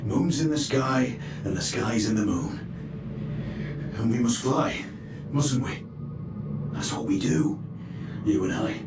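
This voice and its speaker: A man's voice: medium pitch, expressive, takes it slowly, long pauses, heavy background noise. Echoey, whimsical, ailing old man. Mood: dreamy, delirious. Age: older. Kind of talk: cinematic video game acting. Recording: echoey, distant, loud music behind.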